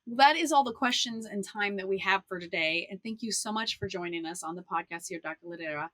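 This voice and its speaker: A woman's voice: medium pitch, fast, sharp tone, dry recording. A woman hosting a podcast interview, upbeat and affirming as she wraps up with her guest. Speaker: podcast host.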